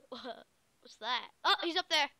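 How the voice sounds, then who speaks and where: A woman's voice: high-pitched, expressive, slow pace, bright, forceful tone. A young woman chatting casually while playing a video game, as host of a gaming video. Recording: clicky, noisy home gaming recording.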